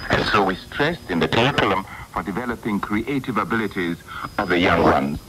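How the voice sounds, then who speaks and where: Lively low-voiced man reading letters. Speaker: letter reader. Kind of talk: reading listener letters on radio. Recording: noisy 1980s shortwave radio recording.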